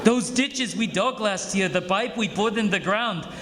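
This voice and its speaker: A man playing a loyal, respectful plantation foreman in 1940s-style radio drama, halting and earnest. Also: sits high, crowd noise. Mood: earnest worry. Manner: halting, deferential. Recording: live stage show with audience sounds.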